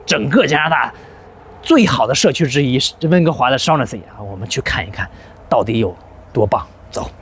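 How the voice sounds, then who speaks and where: A male channel host narrating a vlog and talking directly to viewers in a lively way, recorded outdoors on a residential street. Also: medium pitch, a little fast, slightly rough. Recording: outdoor vlog audio with traffic noise.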